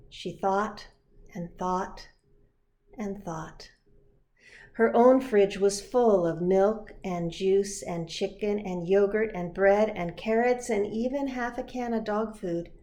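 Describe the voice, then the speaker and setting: A woman narrates a children's story with expression. Her voice is medium in pitch. She reads slowly and deliberately, as a pastor might read to young listeners. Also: mellow. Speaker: pastor reading a children's book.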